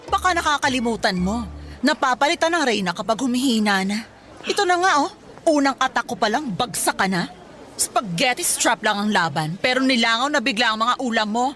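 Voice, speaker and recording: Swooping high-pitched haughty young woman. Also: animated, fairly quick, sharp tone, a little hiss or hum. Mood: smug, mocking. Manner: taunting, theatrical. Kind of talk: Filipino radio drama acting.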